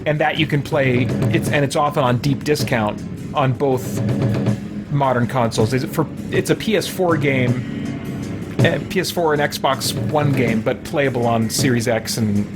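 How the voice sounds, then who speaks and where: A man's voice: mid-range voice, normal speed, loud music behind the voice. A man giving casual gameplay commentary, thinking aloud in a rambling, musing way. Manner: rambling, musing, trails off into side thoughts. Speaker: gaming streamer, let's player.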